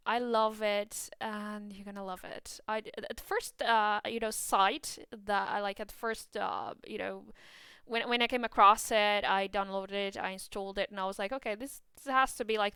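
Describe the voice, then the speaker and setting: A woman with a Portuguese accent talks casually and excitedly about a game, full of fillers and little restarts. Her voice is exceptionally crisp and clean, with a dry, close sound and no room around it. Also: mid-range voice, no room sound. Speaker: gaming YouTuber.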